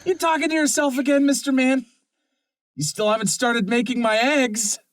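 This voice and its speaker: A man's voice: very high-pitched, fairly even intonation, moderate pace, no echo, studio quality. An actor playing a bullying, volatile gunman in a horror audio drama, sneering and taunting. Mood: bossy, suspicious. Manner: taunting, swinging from growl to shout.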